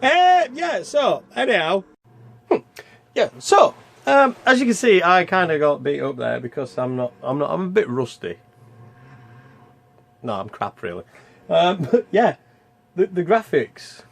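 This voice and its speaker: A man's voice: high-pitched, sing-song, unhurried, vocal fry, pauses often. A man hosting a game review with casual, rambling, self-deprecating commentary as he plays. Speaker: video game reviewer and channel host.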